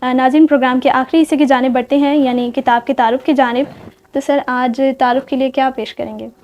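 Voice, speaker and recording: A woman's voice: high voice, steady tone, fairly quick, darkish tone. A woman with a Pakistani accent hosts a programme in formal, polite phrases, on a slightly muffled recording. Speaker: talk show host.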